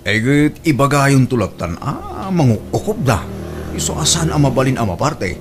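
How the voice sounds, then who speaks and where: An actor playing a casual, wheedling, stingy grown son in an Ilocano radio comedy drama. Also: male voice, medium pitch, sing-song, moderate pace, heavy background noise, natural voice. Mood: apologetic, jovial. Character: easygoing, stingy son fond of his chickens.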